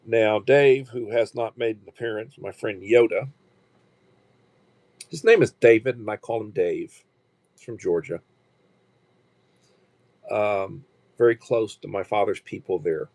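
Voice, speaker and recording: Rambling man in his sixties, low voice. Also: darkish tone, dry recording. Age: 60s. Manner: rambling, halting with repeated restarts. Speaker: livestream host. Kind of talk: casual livestream chat.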